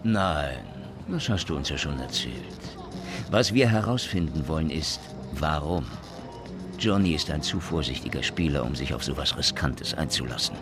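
An actor playing a sardonic, methodical police detective with a dry, probing manner in a crime radio play. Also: male voice, a bass voice, lively intonation, moderate pace, over music. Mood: matter-of-fact. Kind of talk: hard-boiled radio crime drama acting.

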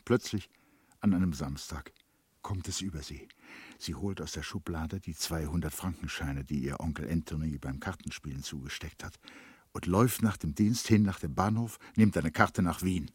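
Deep-voiced male literary narrator. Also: normal speed, breathy edge, no echo. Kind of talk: literary radio play narration, scripted.

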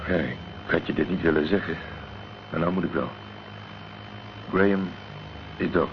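An actor playing a blunt, protective middle-aged private detective in a Dutch radio drama, terse and direct. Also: male voice, deep voice, normal speed, creaky. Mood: impatient, grave. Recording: muffled, noisy old radio recording.

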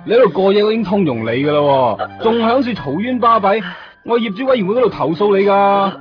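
Bossy mall caretaker, hong kong cantonese, quick high nagging, dull radio sound w music. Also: male voice, lively intonation. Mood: annoyed. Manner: nagging, exclamatory scolding. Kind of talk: 1990s radio drama acting.

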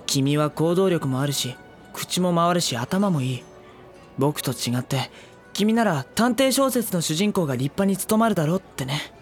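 Man playing a soft-spoken, wry high school boy. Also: lively intonation, normal speed, faint music behind, lightly acted. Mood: questioning. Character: gentle, exasperated high school boy narrator, self-deprecating. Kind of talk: Japanese anime audio drama acting with narration.

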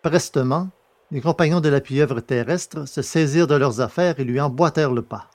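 A man's voice: fairly high pitch, moderate pace. A man presenting a podcast and narrating a science fiction serial in carefully phrased, literary read speech. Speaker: podcast host and audiobook narrator.

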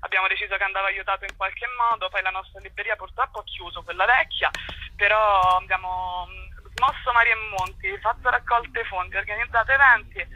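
Bright-voiced young woman. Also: mid-range voice, steady tone, a little fast, lo-fi sound. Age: young adult. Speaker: cultural association spokesperson, interview guest. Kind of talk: conversational radio interview.

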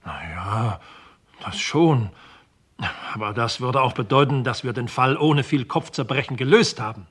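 Husky, dutiful police sergeant. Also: male voice, medium pitch, measured pace. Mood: conceding. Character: dutiful, matter-of-fact police sergeant reporting to his chief. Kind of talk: 1960s German radio crime drama acting.

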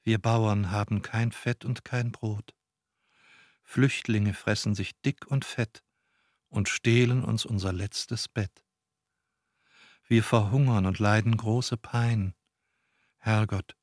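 An actor playing a pious, spiteful farmer, reciting solemnly like a prayer in a radio drama. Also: male voice, fairly low pitch, no room sound, clean studio sound. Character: pious, spiteful farmer praying against refugees.

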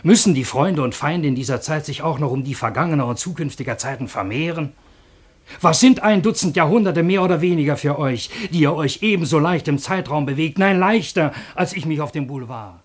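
A man's voice: a little high, expressive, a little fast. An actor in a German radio play playing an eloquent, indignant man, speaking in formal, impassioned rhetoric. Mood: indignant pleading. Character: eloquent, indignant male bar guest pleading with a warrior.

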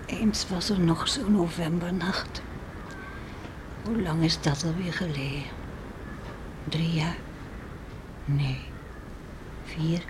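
An actress playing an anxious, tender and pious farmer's wife and mother in a radio play, musing in hushed, emotive tones. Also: fairly low pitch, steady tone, takes it slowly, long pauses. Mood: hushed, troubled. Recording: old radio play with faint noise.

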